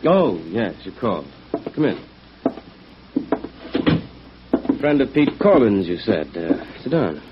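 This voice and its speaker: An actor playing a subdued, earnest male musician with a hushed, halting delivery in 1950s radio drama. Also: lowish voice, very animated. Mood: guarded, subdued. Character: subdued, intense male musician who covets violins. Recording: noisy, muffled old radio recording.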